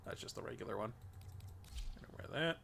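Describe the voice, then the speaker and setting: A man's voice: mid-range voice, animated, fairly quick, vocal fry, a bit bright, a little hiss or hum. A congested man casually thinking aloud while streaming a game, rambling with lots of fillers. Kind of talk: casual gameplay commentary. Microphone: desk microphone.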